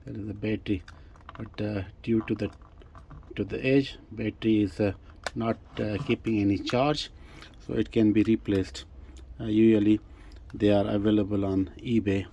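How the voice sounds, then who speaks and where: Low-voiced man, halting non-native English speaker. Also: vocal fry, warm tone. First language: not English. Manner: hesitant, halting, step-by-step explaining. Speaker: presenter demonstrating a vintage gadget. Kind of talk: casual unscripted product demonstration.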